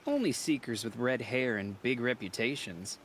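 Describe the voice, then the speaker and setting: A man's voice: medium pitch, animated, moderate pace, close to an everyday voice. Guy as a cocky game hunter, teasing and boastful, voice bounces around, bit of background noise. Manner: boastful, provocative. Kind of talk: video game voice acting.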